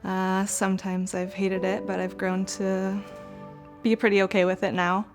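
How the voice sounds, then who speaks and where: A woman's voice: medium pitch, completely flat delivery, moderate pace, faint music behind. A woman in her twenties talking candidly and reflectively about her own skin. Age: 20s. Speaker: participant. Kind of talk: candid conversation.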